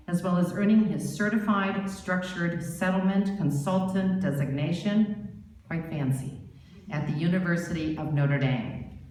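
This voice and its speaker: A woman's voice: fairly low pitch, a little mellow. A woman giving a scripted speech as an award presenter, introducing an honoree. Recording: very echoey, distant, faint noise.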